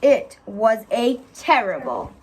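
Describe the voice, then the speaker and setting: A woman's voice: a little high, husky, low-quality recording. A woman reading a picture book aloud expressively as a teacher, stopping to put simple questions to a child. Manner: animated, pauses to ask simple questions.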